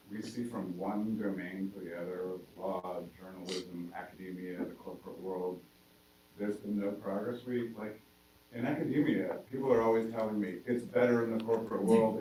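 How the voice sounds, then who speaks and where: An older man speaking at a university panel in a low voice. He talks thoughtfully, stopping and restarting with plenty of ums. The sound is roomy and distant, with a faint hiss behind. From United States. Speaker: panelist, sociology professor. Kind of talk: panel discussion. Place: university event hall. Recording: roomy hall heard from far off, faint noise.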